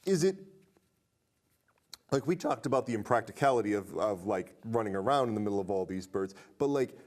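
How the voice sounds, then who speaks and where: A man with a fairly low voice hosts a casual movie podcast. He talks in a conversational way and puts animated emphasis into anything he reads out. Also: fairly quick. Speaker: podcast host.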